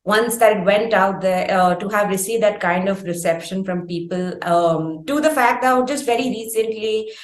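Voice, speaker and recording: Indian female interviewer, mid-pitched, wordy and conversational, recorded in a roomy echo. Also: normal speed, few pauses. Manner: wordy, long winding questions. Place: indoor room on a video call.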